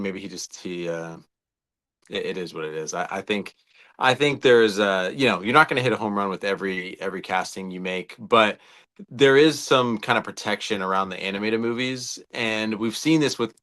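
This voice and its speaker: Low-voiced man in casual discussion. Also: normal speed, a bit bright. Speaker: co-host of a video game fan show. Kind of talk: casual conversational film discussion.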